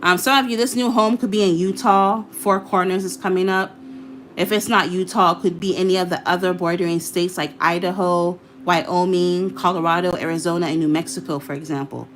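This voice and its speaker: A woman's voice: lowish voice, fairly bright tone, slight background noise. A woman giving a casual, chatty tarot reading, talking freely, repeating herself and swearing now and then. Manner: chatty, repetitive, casually profane. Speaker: tarot reader.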